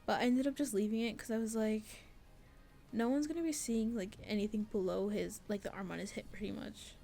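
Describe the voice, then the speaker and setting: A woman's voice: mid-range voice, steady tone, fairly quick, creaky, mellow. A young woman giving a casual, rambling voice-over about her own artwork in a self-deprecating, giggly way, peppered with "like.". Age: young adult. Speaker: artist and game maker narrating a devlog.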